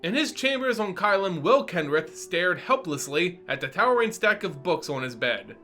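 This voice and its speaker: A man hosting and narrating a fantasy story in a theatrical, expressive scripted reading. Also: sits high, moderate pace. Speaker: host and storyteller. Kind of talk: dramatic scripted narration with channel intro. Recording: music under the voice. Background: background music.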